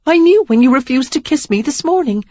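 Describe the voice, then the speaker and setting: A woman's voice: high voice, animated, brisk, warm tone, dry recording, highs cut off, voice pushed up. A woman voicing an anxious young woman who pleads and apologizes in a breathless, babbling way. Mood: defiant.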